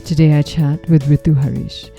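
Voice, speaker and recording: A woman who grew up in Zambia, hosting a podcast and reading scripted introductions and personal stories in a calm, even way. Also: low-pitched, moderate pace, creaky, faint music behind. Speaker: podcast host. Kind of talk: scripted narration.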